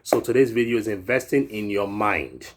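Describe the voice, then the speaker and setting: A man's voice: fairly low pitch, lively intonation, moderate pace. A man in his thirties from Europe, a fitness vlogger giving a chatty motivational talk that often ends with "right?". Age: 30s. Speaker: fitness YouTuber. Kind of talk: casual motivational vlog talk.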